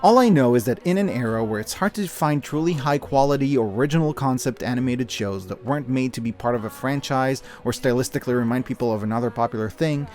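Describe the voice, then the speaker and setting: A man's voice: medium pitch, fast, few pauses, darkish tone. A man narrating a scripted review with casual asides, speaking in an earnest, opinionated and emphatic way. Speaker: video essayist and media reviewer. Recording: music behind the voice. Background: music.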